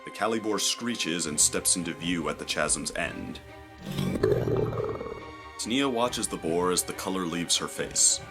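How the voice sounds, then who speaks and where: A man narrating a scripted adventure story in a measured, descriptive, dramatic storytelling style. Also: low voice. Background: music.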